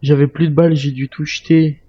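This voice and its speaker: A man's voice: on the high side, flat delivery, a little fast, warm tone, no echo, highs cut off. A man chatting casually in character as a wary survivor while playing a zombie roleplay game.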